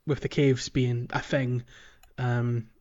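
A man's voice: mid-range voice, flat delivery, slow pace, a little mellow, highs cut off. A man hosting a podcast, chatting casually about a video game. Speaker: podcast host.

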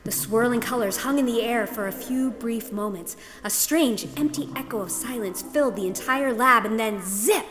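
A woman's voice: a little high, moderate pace, hardly any pauses, fairly bright tone. A woman narrator reading a scripted story in a dramatic storytelling style. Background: music.

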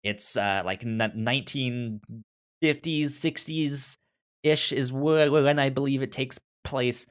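A man speaks at an average male pitch in a casual podcast conversation about film. He is a co-host who talks haltingly, often stammering, repeating the starts of words and leaving phrases hanging. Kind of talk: casual film discussion chat.

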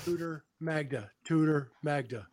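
A man's voice: a little high, a little slow, a little mellow. A man co-hosting a casual fantasy draft chat, joking and teasing the others. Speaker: podcast co-host.

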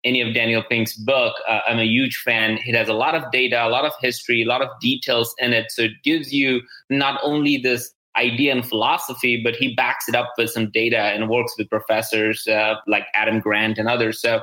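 A man's voice: medium pitch, little pitch movement, fairly quick, few pauses, pushed and bright, rough recording. A man hosting a business podcast, talking casually and enthusiastically, often repeating words as he goes. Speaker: podcast host.

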